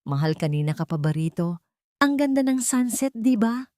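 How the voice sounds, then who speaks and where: A woman narrator reads as an affectionate wife in a romantic story. Her Filipino-accented delivery is expressive, with a close, dry sound. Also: mid-range voice, moderate pace, no echo, voice pushed up, close to an everyday voice. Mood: happy. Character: affectionate young wife, delighted and wistful.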